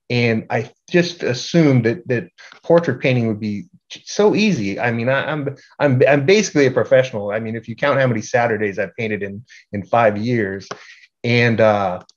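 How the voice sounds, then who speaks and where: A middle-aged man giving an informal slide presentation as a group organizer, halting and repeating words in a self-deprecating way. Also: medium pitch. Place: indoor room at home, on a video call. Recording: dull, lo-fi video call audio.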